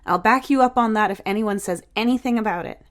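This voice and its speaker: A woman's voice: on the high side, quick pace, pitched above the performer's own voice, lightly acted. A woman voicing a teasing, bossy but caring big sister, a young beautician, in breezy, coaxing audiobook dialogue.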